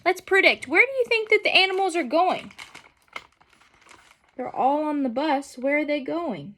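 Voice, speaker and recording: A woman's voice: high voice, lively intonation, normal speed, pushed and bright, slight background noise. A young adult woman reading a picture book aloud expressively and putting questions to children in an instructional way. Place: small furnished room at home, indoors.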